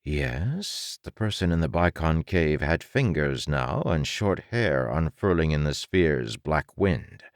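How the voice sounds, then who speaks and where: A man narrating dramatic fiction for an audiobook in a deliberate storytelling manner. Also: extremely low pitch, expressive, dry recording, clean studio sound.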